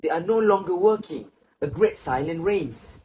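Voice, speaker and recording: A man playing a sorrowful, reflective old grandfather in a stage play, speaking in a slow, solemn, literary way. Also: fairly high pitch, animated, a little mellow, close to an everyday voice, rough recording. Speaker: student actor. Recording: faint background noise.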